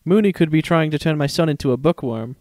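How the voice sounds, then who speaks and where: A man's voice: a little high, fast talker, a little mellow, no echo, clean studio sound, lightly acted. A man voicing a playful, protective young father in a dramatic story reading. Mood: playful, concerned. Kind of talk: dramatic fan fiction reading.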